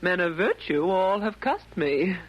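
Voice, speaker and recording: A woman's voice: lowish voice, animated, measured pace, lo-fi sound. An actress playing a coy, murderous female poisoner with a brassy, slangy manner in a 1940s radio comedy. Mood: coy, scheming. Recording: noisy old 1940s radio broadcast.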